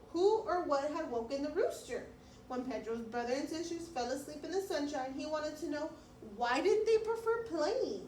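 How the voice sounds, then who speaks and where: Woman, preschool teacher, high voice, children's story-time delivery, distant mic with faint noise. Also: darkish tone, echoey room. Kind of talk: children's read-aloud and lesson chat.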